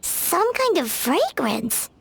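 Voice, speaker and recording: A woman voicing a small, girlish, chatty fairy companion in an anime-style video game, with a squeaky childlike voice. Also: slow pace, vocal fry, slight background noise, full character voice, low-quality recording. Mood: curious, breezy. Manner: speaks of herself in third person, expressive. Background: game music.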